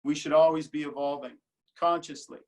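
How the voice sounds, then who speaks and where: A yoga and breathwork teacher, a man, calmly explaining a counted breathing technique in a video call webinar. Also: a little high. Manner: relaxed, slow counted instructions.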